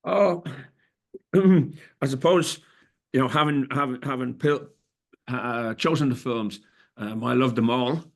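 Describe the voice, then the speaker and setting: A man's voice: medium pitch, slow, pauses often. A middle-aged Irish man, a festival director, answering interview questions in a hesitant way, with many fillers and restarts. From Ireland.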